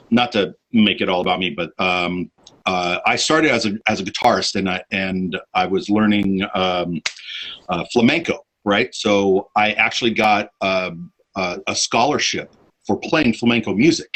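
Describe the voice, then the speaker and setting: A man's voice: sits low, unhurried, fairly bright tone, rough recording. A man hosting a casual podcast chat, telling long rambling stories with many fillers and restarts. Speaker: podcast host, bassist.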